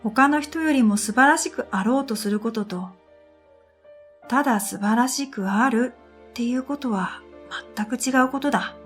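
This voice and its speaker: A woman reading a scripted first-person story in a reflective, measured way, as narrator of an audio drama. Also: fairly high pitch. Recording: background music under the voice. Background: music.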